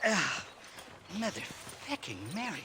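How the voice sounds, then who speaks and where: A man's voice: sits high, sing-song, a little slow, background noise. A man playing a drunken, wheedling Irish scoundrel in a Western video game, with an Irish accent. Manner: blustering, wheedling.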